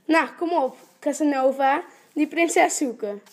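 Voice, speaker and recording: A woman's voice: sits high, measured pace, darkish tone. A woman playing a mocking, teasing gang villainess in an amateur radio drama.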